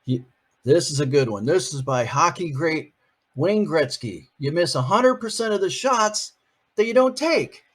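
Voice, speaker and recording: Playful husky grandpa reading aloud. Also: male voice, on the high side, expressive, unhurried. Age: older. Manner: playful, chatty, asks the listeners questions. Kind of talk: reading a picture book aloud on video.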